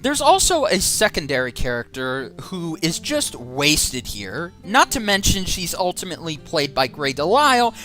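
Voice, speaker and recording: A woman's voice: deep voice, very animated, moderate pace, few pauses, fairly bright tone, soft background music. A woman giving a casual online film review, exasperated and sarcastic as she rants.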